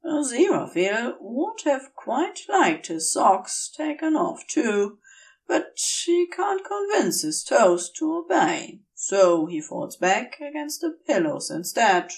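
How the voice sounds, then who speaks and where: A woman's voice: a little high, sing-song, takes it slowly, recorded from a distance. A woman reading scripted fiction aloud as a narrator. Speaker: podfic narrator.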